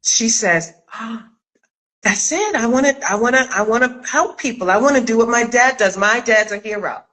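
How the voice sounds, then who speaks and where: A woman hosting a casual interview chat, talking in an expressive, emphatic way. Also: fairly high pitch, moderate pace. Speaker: host, acting coach and writer. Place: remote video call.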